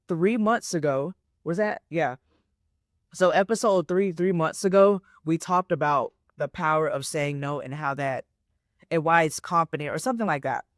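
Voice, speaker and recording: A woman's voice: low voice, dry recording, studio quality. A woman in her twenties, a life coach hosting a solo motivational podcast, talking casually and often checking in with "right?" and "okay?". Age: 20s. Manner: repeats herself to stress points.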